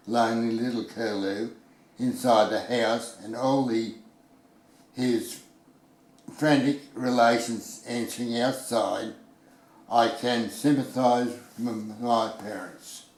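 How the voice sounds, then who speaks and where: A man's voice: medium pitch, fairly even intonation, slow pace, a little mellow. An older Australian man reminiscing about his grandfather in short, hesitant remarks. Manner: brief, hesitant replies. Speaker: reader and interviewee. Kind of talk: casual reminiscing conversation. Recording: roomy echo.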